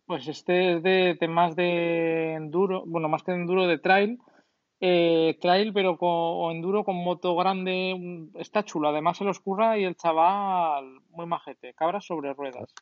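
Co-host: man, fairly high voice, easygoing questions, dry lo-fi sound. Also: steady tone, unhurried, no echo. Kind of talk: casual chat.

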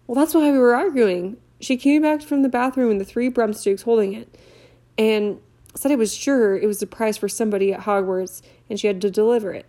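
A woman's voice: a little high, brisk, warm tone, close to an everyday voice. Woman reading as a distressed teenage schoolgirl. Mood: upset. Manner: tearful, pleading.

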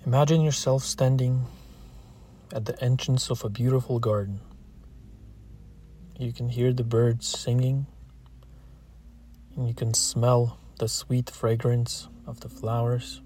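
A man narrating a scripted guided meditation, calm and gentle, leaving long pauses between short phrases. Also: medium pitch, slight background noise.

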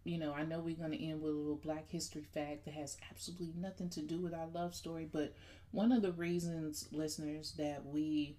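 A woman's voice: low-pitched, little pitch movement, fairly quick, mellow. A woman from the Midwest hosting a podcast, chatting and telling a story playfully and addressing her listeners directly. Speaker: podcast host. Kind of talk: casual conversational podcast.

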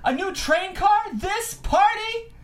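A woman voicing an enraged, grieving drunk woman in her sixties, yelling accusations in a script reading. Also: expressive, takes it slowly, a little hiss or hum, exaggerated voice. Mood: accusing, grieving. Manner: shrill, yelling, anguished. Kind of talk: live table read of a period drama script.

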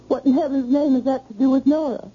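An actress playing a bewildered wife insistently demanding answers in an old-time radio drama. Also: female voice, high voice, steady tone, fast talker, husky. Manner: insistent, questioning. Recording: muffled, hissy vintage radio broadcast.

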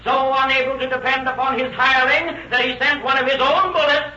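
A man's voice: extremely high pitch, level tone, fairly quick, bright, forceful tone, full character voice. A man acting an impassioned prosecutor in a 1930s radio drama, orating emphatically to a jury. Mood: impassioned, accusing. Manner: oratorical, emphatic. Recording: dull noisy old radio, echoey, distant.

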